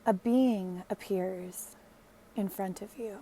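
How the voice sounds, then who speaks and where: A woman guides a meditation in a mellow, relaxed voice. She speaks very slowly and gently, in a hushed, soothing way with long pauses between phrases. There is a faint noise behind her. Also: mid-range voice, rough recording.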